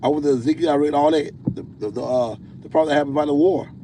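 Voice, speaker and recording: A man with a deep voice preaching on a livestream. He reads scripture slowly, stumbling and repeating words. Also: noisy background. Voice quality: self-described deep voice. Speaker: self-styled prophet hosting a livestream.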